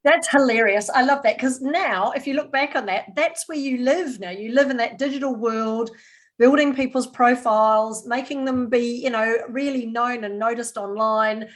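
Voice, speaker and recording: A woman's voice: a little high, normal speed. Upbeat woman podcast host. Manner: upbeat, encouraging. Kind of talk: interview hosting. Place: remote call.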